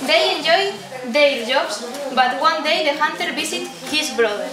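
A teenage young woman reads a story haltingly from a script. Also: high voice, animated, a crowd in the background. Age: teens. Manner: halting, stumbling reading. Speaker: student narrator of a puppet show. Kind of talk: scripted story read from paper. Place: indoor room at a day care centre. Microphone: distant camera mic. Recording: echoey room, distant noisy camera pickup.

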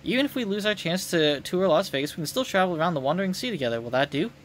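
A man voicing a calm, gentle, queenly goddess from a video game in a measured, formal way. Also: high voice, a little hiss or hum. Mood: reassuring. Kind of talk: video game dialogue read aloud.

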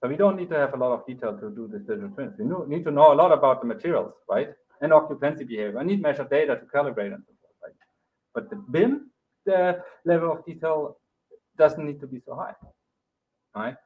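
A man's voice: fairly high pitch, animated, quick pace. Man lecturing over a thin video-call line. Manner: loose and conversational, frequent "right?" and "um". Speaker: keynote speaker. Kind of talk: academic conference talk. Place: home office, video call. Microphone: webcam or laptop mic on a video call. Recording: phone-like narrow band.

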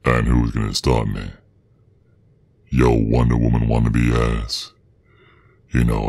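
A man voicing a menacing, protective werewolf father in an ASMR roleplay, with a Southern US accent and a very deep, gravelly, husky voice, drawling out taunts. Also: no room sound, exaggerated voice.

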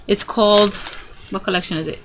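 A woman's voice: medium pitch, steady tone, brisk, a bit bright, low-quality recording. A middle-aged woman chatting casually and gushing as she shows off craft supplies in a home video. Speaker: craft vlogger. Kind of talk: casual show-and-tell unboxing. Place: home room by a window. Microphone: camera in front of her, hands in frame. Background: TV and children's noise.

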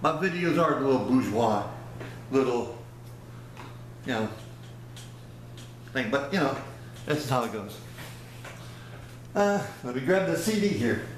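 A man's voice: mid-range voice, expressive, a little slow, pauses often. A man in his fifties chatting casually to a webcam in a small room, rambling and folksy as he loses his words now and then. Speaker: vlogger. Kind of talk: casual product review vlog. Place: small indoor room by a plain wall. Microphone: webcam at close range. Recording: very echoey room, faint hiss.

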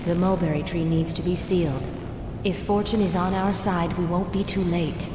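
Casting: a woman to play a grave, composed exorcist in a horror game; measured delivery, cavernous echo, noisy bed. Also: fairly low pitch, steady tone, natural voice. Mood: grave, resolute. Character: calm, resolute woman exorcist of ancient Japan. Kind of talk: dubbed horror game acting. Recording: echoey cavernous reverb with noise.

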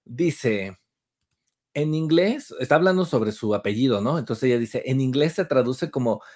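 A young man with a Mexican accent, co-hosting a gossip chat over a video call from a room at home, animated and mocking as he laughs. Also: mid-range voice, moderate pace. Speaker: co-host.

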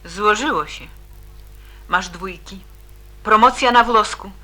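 A woman's voice: medium pitch, slow, pushed and bright, soft background music. An actress playing a worried, strict mother in a radio drama, questioning with reproach and scepticism. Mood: reproachful.

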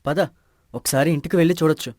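A man voicing a level-headed village friend who asks patient questions in an animated moral story, reassuring and inquisitive. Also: on the high side, fast, dry recording, clean studio sound, close to an everyday voice.

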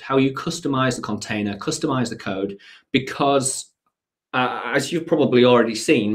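A man in his forties with a cold and a slightly croaky voice, giving a live-streamed technical talk from his home study, chatty and full of fillers and restarts. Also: medium pitch. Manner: conversational, frequent fillers and self-corrections. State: has a cold, voice a bit croaky. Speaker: company CEO presenting a technical talk. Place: home study with bookshelves, indoors.

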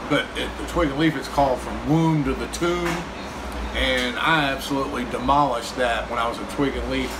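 A man's voice: mid-range voice, background noise. A man, chatting casually as he reviews diner food while eating. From Kentucky, US. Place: small diner, indoors. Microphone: phone camera across the table.

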